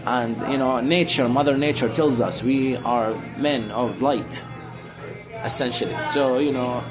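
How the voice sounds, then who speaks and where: Middle-aged male, from Syria. Unscripted, preachy street-talker delivery with rambling repetition, guitar music clearly behind. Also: medium pitch, measured pace. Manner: rambling, repeats phrases for emphasis; preachy, addresses listener as brother. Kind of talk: casual, unscripted explaining in conversation.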